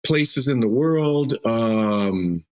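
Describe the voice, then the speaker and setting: A man's voice: mid-range voice, expressive, very slow, darkish tone, clean tone. A man from Mexico, a roofing company CEO guest, talking loosely with frequent fillers and restarts. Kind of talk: casual interview answers.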